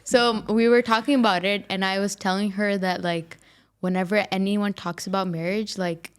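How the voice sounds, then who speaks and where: A woman's voice: medium pitch, fairly even intonation, moderate pace, clear, resonant tone. Casual young woman hosting a podcast. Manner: casual, heavy use of filler words. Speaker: podcast host. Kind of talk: casual conversation.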